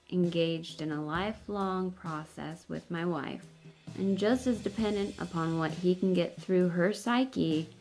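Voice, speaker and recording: Slow, creaky middle-aged woman reading aloud. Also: on the low side, darkish tone. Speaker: reader of a book for online videos. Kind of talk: unhurried read-aloud narration of a book. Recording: music behind the voice. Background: faint background music.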